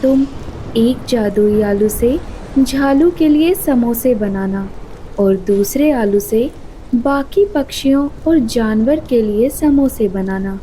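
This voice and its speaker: A benevolent magical fairy from a cartoon tale, voiced by a woman, speaks graciously and reassuringly. Her voice is high and mellow, and she takes her time. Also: slow, a little hiss or hum, poor audio. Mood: gracious, calm.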